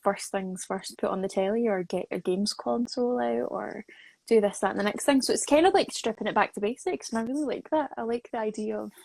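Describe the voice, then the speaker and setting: A woman's voice: medium pitch, fairly quick, creaky, darkish tone, no room sound. A woman in her twenties hosting a casual live online chat, emphatic and often repeating her phrases. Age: 20s. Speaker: interview host, associate producer.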